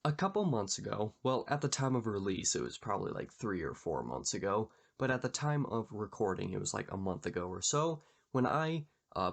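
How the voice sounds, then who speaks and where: A man hosting a book channel, talking casually and explaining in a measured, conversational way with a slightly gravelly voice. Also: medium pitch. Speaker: YouTube book channel host. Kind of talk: casual book discussion to camera.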